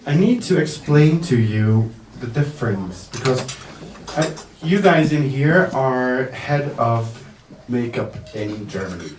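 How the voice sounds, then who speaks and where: A man working as a makeup artist, giving a live demonstration to the press at an indoor event, talking slowly and hesitantly with many fillers and the odd joke. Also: medium pitch, animated, darkish tone. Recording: echoey room, mic far off, faint noise.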